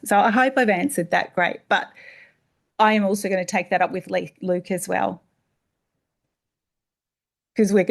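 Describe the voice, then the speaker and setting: A woman's voice: mid-range voice, creaky. An Australian woman presenting at a seminar, answering an audience question in a thoughtful, explanatory way. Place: seminar room.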